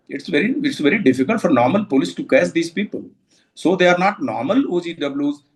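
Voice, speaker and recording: Higher-voiced Indian man giving halting expert commentary. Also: low-quality recording. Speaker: security expert, former counter-terrorism officer.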